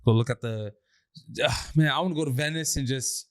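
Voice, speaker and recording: Animated young man telling stories. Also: brisk, clear, resonant tone, no echo, studio quality. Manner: animated storyteller, swings into high mock voices. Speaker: podcast co-host. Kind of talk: casual chat.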